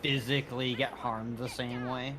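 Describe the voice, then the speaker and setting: A man's voice: medium pitch, level tone, normal speed, background noise. A man co-commentating on a gaming livestream in casual, joking chat.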